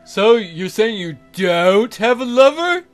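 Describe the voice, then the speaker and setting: Wolf-man suitor acted by a man: pushy, smug, declamatory, lively high male pitch. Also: takes it slowly, faint music behind, full character voice. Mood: probing.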